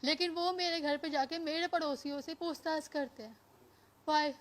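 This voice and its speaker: High-voiced defiant woman. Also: fairly even intonation, moderate pace. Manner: defiant, emphatic, Hindi with some English words. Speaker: complainant making a public statement. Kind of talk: impassioned spoken statement to media.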